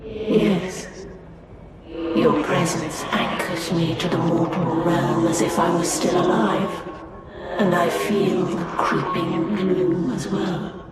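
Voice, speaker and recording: An actress playing a wistful alien spirit in a game cinematic, speaking in a solemn, portentous way. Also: female voice, fairly low pitch, steady tone, takes it slowly. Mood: wistful, sombre. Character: ghostly, wistful Eldar spirit sister. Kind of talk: dramatic game cinematic acting. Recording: echoey, loud music.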